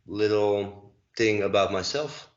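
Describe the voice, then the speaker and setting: A man's voice: lowish voice, takes it slowly, dull audio. Echoey Dutch-accented man in his forties. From Netherlands. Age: 40s. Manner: hesitant, many fillers and restarts. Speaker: guest, dancer and choreographer. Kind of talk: casual interview conversation. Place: at home.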